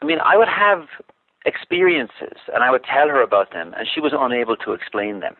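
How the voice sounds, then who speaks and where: A man's voice: medium pitch, sing-song, brisk. Man on a tinny phone line. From Ireland. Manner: thoughtful, with frequent restarts and filler words. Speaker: guest. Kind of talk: reflective explanation on a talk show.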